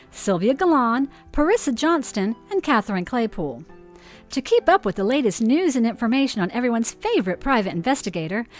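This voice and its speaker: Lively, higher-pitched woman announcer over music. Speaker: announcer reading credits. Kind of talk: scripted, upbeat podcast credits read. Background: music with tinkling jingle.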